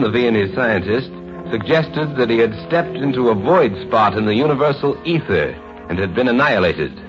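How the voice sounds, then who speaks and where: An American man giving suspenseful scripted narration in the style of a 1950s radio drama. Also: medium pitch. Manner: suspenseful, dramatic, measured storytelling. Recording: dull vintage radio, faint music behind.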